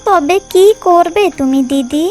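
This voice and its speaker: Spiteful, very high-voiced younger sister-in-law acted by a woman. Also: unhurried. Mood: catty, curious. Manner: scolding, catty, fawning. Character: spiteful, sharp-tongued younger sister-in-law, gleeful sidekick schemer. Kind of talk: exaggerated cartoon fairy-tale dialogue. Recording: music behind the voice.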